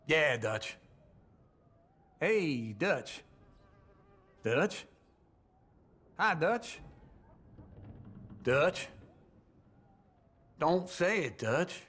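Old cowboy layabout, grumbling, folksy Southern American drawl, slow, swinging pitch, video game outlaw. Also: male voice, fairly bright tone, full character voice. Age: older. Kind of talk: video game character greetings.

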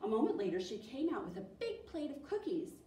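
A woman's voice: fairly high pitch, a little fast. A woman in her thirties reading a children's picture book expressively as a storytime presenter. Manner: animated, expressive. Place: small indoor library room. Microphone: distant camera. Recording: roomy, distant mic, faint noise.

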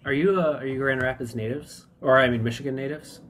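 A man's voice: mid-range voice, fairly even intonation, fast talker, fairly bright tone. A man hosting a music podcast, chatty and enthusiastic, his voice blocked up from a cold. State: congested from a cold. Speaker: podcast host. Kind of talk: podcast intro and interview.

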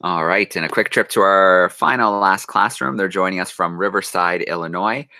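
Bright, low-voiced host of a video call. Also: male voice, creaky, no room sound. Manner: brisk, friendly, addresses kids directly. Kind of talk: upbeat moderation of a live class call. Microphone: webcam on video call.

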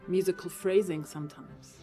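Woman, low voice, animated, laughing, podcast guest, studio boom mic, faint music. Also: normal speed. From Lebanon. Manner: animated, laughing often, switching between languages. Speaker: composer guest. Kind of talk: podcast interview. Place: room with Christmas trees. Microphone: studio mic on boom arm.

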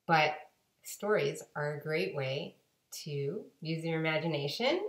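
A woman in her forties reading a storybook aloud to children with expressive, deliberate emphasis. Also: low-pitched. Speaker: guest storybook reader. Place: home kitchen-dining room. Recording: echoey home room, webcam microphone.

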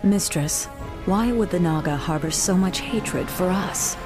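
A woman's voice: fairly low pitch, measured pace, music in the background, natural voice. A woman voicing a dutiful night elf lieutenant in a fantasy game, earnest and deferential to her commander. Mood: respectful, puzzled. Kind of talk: dramatic fantasy game acting.

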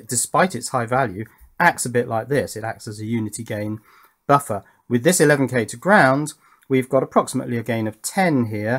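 A man giving a casual, hands-on technical explanation in an informal, methodical way, as a tutorial host. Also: medium pitch. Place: indoors at a workbench.

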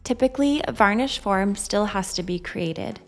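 A woman narrates a scripted software tutorial in a mid-pitched voice. Her delivery is measured and instructional, with music playing faintly behind her. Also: animated. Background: background music.

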